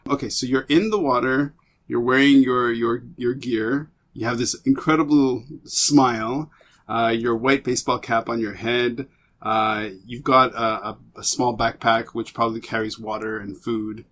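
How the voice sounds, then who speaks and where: An excitable male podcast host with a mid-range voice gushes over what his guest shows him. He talks in stammering, rambling bursts, and his voice jumps up when something delights him. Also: medium pitch, unhurried. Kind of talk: casual interview chat.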